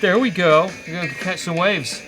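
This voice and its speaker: A man's voice: sits high, normal speed, over music, low-quality recording. A man hosting a casual programming walkthrough, thinking aloud in a wry, hesitant way. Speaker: host, programming instructor. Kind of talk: casual live-coding walkthrough.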